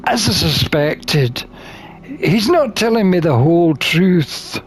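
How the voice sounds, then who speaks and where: A man reading a visual novel aloud as a gamer, expressive and theatrical, with drawn-out phrasing. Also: on the high side, breathy edge. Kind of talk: expressive read-aloud of game text.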